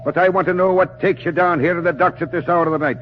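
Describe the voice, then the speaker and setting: A man's voice: high-pitched, steady tone, fast talker, a little hiss or hum, natural voice. Man playing a blustering Irish cop, muffled old radio. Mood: suspicious. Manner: folksy, roundabout, blustering but good-natured. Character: hearty, talkative Irish policeman walking a night beat. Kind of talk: 1940s radio acting.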